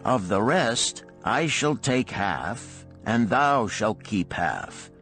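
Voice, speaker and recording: Guy reading as a pleased old-world babylon baker boss, pitched up, deliberate bargaining talk, music behind. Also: expressive, a bit bright, heavily acted. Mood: pleased, generous. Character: pleased, fair-dealing master baker in ancient Babylon. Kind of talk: acted audiobook dialogue.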